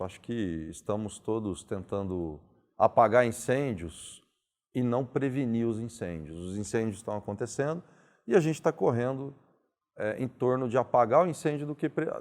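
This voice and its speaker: A man hosting an interview, asking thoughtful, philosophical questions in a calm conversational way. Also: mid-range voice, normal speed.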